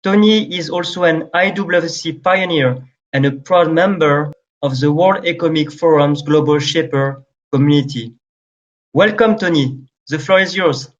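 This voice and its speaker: Webinar moderator, male, higher voice, formal scripted delivery, muffled video-call sound. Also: a little slow. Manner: formal, reading from notes. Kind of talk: scripted introduction. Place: indoor room, video call. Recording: dull lo-fi call audio.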